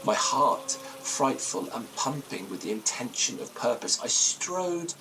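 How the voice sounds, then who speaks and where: An actor playing a fearful, haunted gentleman, telling his ghostly tale in formal, grave gothic prose. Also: medium pitch, sing-song, a little slow, background noise. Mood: solemn, searching. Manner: formal, literary, grave phrasing. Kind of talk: dramatic first-person gothic storytelling.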